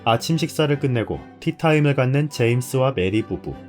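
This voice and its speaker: A man's voice: medium pitch, animated, fairly quick, faint music behind. A man hosting and reading a scripted narration. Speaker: host.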